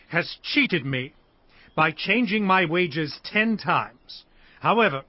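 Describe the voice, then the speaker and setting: An earnest, high-voiced biblical shepherd. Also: male voice, no room sound, highs cut off, heavily acted. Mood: aggrieved, earnest. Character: Jacob, earnest hardworking biblical shepherd and husband. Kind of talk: dramatized audio Bible acting.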